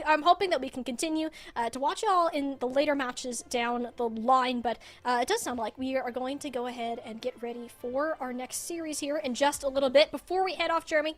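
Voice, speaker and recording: A woman's voice: sits high, steady tone, fairly quick, hardly any pauses. A woman hosting a live esports broadcast and interviewing in a chatty, casual way. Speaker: esports desk host.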